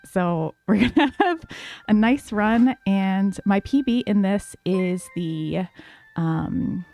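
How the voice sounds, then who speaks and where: A woman livestreaming a game, talking calmly and softly to her chat with lots of fillers. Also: mid-range voice, slow. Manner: soft, calm, many fillers. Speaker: streamer.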